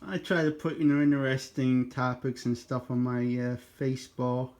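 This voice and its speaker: A man with a mid-pitched voice talks as a radio operator in a casual back-and-forth chat. His delivery is informal and chatty, peppered with radio jargon. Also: flat delivery, normal speed, darkish tone, slight background noise. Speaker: amateur radio operator making a video.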